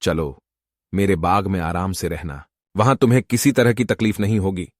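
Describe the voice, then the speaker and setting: Man as a deep-voiced, earnest young prince. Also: steady tone, moderate pace, dry recording, studio quality. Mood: earnest, grateful. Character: grateful, insistent kind young prince.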